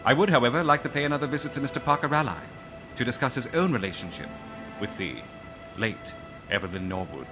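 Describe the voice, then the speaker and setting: An actor playing a cautious gentleman investigator in an audio drama, with formal, clipped speech. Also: mid-range voice, animated, fast talker, music in the background, close to an everyday voice. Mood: deliberating.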